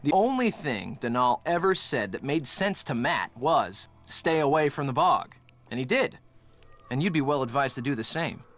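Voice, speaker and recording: A man's voice: medium pitch, animated, normal speed, fairly bright tone, lightly acted. A man acting a breezy, evasive real-estate developer in a video game, chatty and emphatic. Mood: alarmed warning. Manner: chatty, emphatic, brushes things off. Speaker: video game character actor. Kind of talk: scripted game dialogue.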